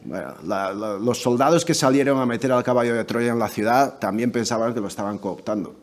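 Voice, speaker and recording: A man giving a debate contribution at a measured, middle pitch. He talks thoughtfully, often stopping and restarting as he hunts for the right word. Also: slight background noise. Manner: thoughtful, many restarts and hesitations. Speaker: debate panelist.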